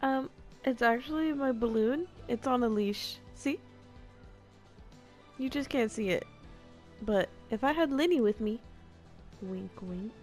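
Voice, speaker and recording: A woman's voice: a little high, a little slow, long pauses, a little mellow. A woman streaming a game with casual, playful, jokey reactions. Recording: game music behind the voice. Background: game music.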